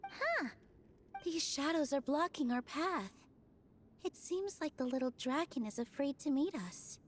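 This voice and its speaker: A woman's voice: high-pitched, sing-song, unhurried, a little hiss or hum. A woman voicing a small, friendly flying squirrel fairy in a video game, curious and helpful in a childlike way. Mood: puzzled. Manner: friendly, childlike, plain-spoken.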